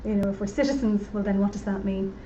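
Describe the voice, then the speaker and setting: A woman's voice: medium pitch, steady tone, fast, mellow. A woman presenting research at a roundtable, talking conversationally with lots of "you know". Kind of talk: conference presentation. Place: conference room at a roundtable event. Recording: distant, dull lo-fi sound with faint noise.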